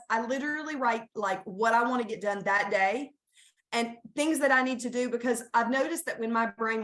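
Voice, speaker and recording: A woman's voice: mid-range voice, a bit bright. A woman gives an earnest, encouraging talk to teachers over a laptop webcam mic. She often restarts her sentences as she thinks aloud. The sound has a roomy echo from her home room. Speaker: school staff presenter. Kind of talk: conference talk on a video call.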